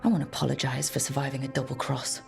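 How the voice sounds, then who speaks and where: A woman playing a resolute, wry young adventurer in a video game, musing to herself in an unhurried, reflective way. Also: rumbling low, little pitch movement, vocal fry, over music, heavily acted. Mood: wistful, reflective.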